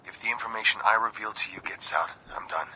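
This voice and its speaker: A man's voice: fairly low pitch, quick pace, bright, forceful tone, a little hiss or hum, rough recording. An actor playing a grave, secretive military informant in a video game, confiding and guarded as he records an audio log. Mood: urgent, confiding. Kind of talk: scripted game dialogue, audio log narration.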